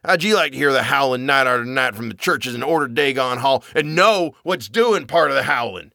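A man's voice: medium pitch, expressive, a little fast, vocal fry, sharp tone, dry recording, studio quality, voice pushed up. A man voicing a wild, terrified old man who raves in a rustic old-timer dialect, in a horror story reading. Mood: raving. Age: older. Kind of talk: audiobook character reading.

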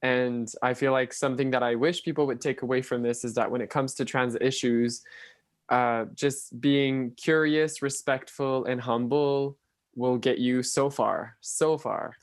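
A man speaking as a panel guest, gentle and reflective in tone. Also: medium pitch, fairly even intonation, a little slow, no echo, clean studio sound. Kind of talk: casual panel discussion.

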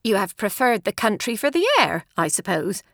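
A woman's voice: mid-range voice, animated, moderate pace, vocal fry, fairly bright tone, no echo, clean studio sound. A woman voicing a nosy, genteel Georgian country lady in an audiobook, English, chattering with affected politeness. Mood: prying, chatty.

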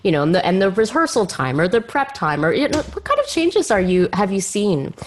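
A woman's voice: medium pitch, big pitch swings, fairly quick, slight background noise. A woman hosting a conversational interview, circling tentatively toward her questions. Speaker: podcast host.

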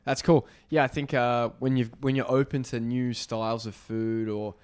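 A man hosting a casual interview, chatty and relaxed with frequent fillers. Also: mid-range voice, moderate pace, clean tone, dry recording. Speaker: podcast host.

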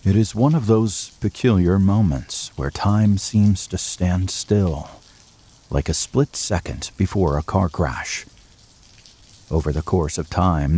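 A man narrating an audio drama from a script in a measured, serious, literary manner. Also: sits low, mellow.

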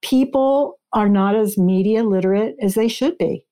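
A woman's voice: medium pitch, normal speed, dark tone. A woman speaking as a guest author in a conversational interview, explaining history in an emphatic way.

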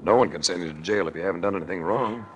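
A man's voice: sits low, racing, close to an everyday voice. An actor playing a wry, world-weary male investigator in a 1940s radio drama, speaking in a dry, sardonic, unruffled way. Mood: calm, reassuring. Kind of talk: 1940s radio drama acting and first-person narration. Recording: noisy old 1940s radio recording.

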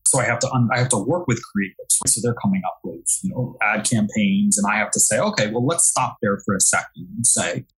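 A man's voice: fairly low pitch, fairly quick, few pauses, a bit bright, husky, low-quality recording. A man from Brooklyn, a guest talking casually about his marketing work, chatty and given to repeating words as he restarts. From Brooklyn, New York. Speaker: guest, digital marketing analyst. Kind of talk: casual interview talk.

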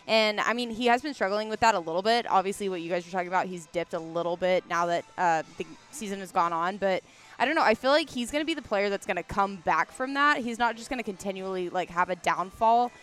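A woman's voice: mid-range voice, fast, fairly bright tone. Young woman chatting casually about basketball. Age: young adult. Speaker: basketball podcast panelist. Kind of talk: casual chat.

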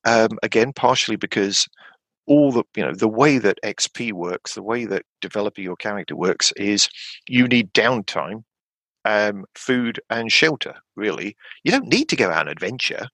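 An excitable man talks in gravelly, fry-edged bursts, often stumbling over his words and jumping up in pitch when he gets keen. The sound is close and dry, with no room around it. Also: moderate pace, no echo, rough recording. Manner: enthusiastic, rambling, many restarts and rising bursts of pitch. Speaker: podcast guest. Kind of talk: enthusiastic podcast chat about tabletop games.

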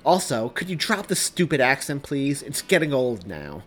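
A man's voice: medium pitch, sing-song, moderate pace, a bit bright, a little hiss or hum. A man voicing an exasperated, defensive young man with a sarcastic edge in dramatized audiobook dialogue. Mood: spiteful, annoyed.

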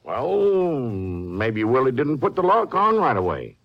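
Folksy, animated western sheriff. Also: male voice, mid-range voice, slow pace, creaky, heavily acted. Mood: insistent, puzzled. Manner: folksy, conversational. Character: folksy, practical small-town western sheriff, sure of himself. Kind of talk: 1950s western radio drama dialogue. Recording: dull, lo-fi 1950s radio recording.

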